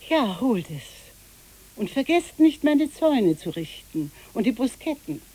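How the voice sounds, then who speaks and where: A woman's voice: high voice, very animated, unhurried, warm tone, breathy edge, heavily acted, low-quality recording. An actress playing a dreamy, eccentric boat-rental woman in a literary radio play, musing and then giving orders. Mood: urgent, instructing. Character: dreamy, eccentric woman who rents out boats.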